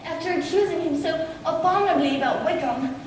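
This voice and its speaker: A woman plays an earnest, easily flustered young gentlewoman of period drama. Her high voice is projected in formal phrases. It rings through a very echoey hall, picked up from far off. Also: fairly quick. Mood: wondering. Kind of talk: amateur stage play acting. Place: stage in a theatre or hall, distant microphone. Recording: echoey theatre hall from far off, faint noise.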